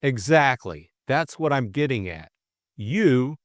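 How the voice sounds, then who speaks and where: A man voicing a breezy, reasoning young gentleman sleuth in an audiobook. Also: mid-range voice, sing-song, slow pace, no room sound, studio quality. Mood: brisk. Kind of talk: audiobook character dialogue.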